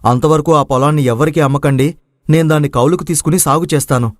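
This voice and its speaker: A man's voice: on the high side, fast talker, dry recording, studio quality, lightly acted. A man playing an earnest young village son. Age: young adult. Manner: earnest, respectful. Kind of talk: dramatized moral story acting.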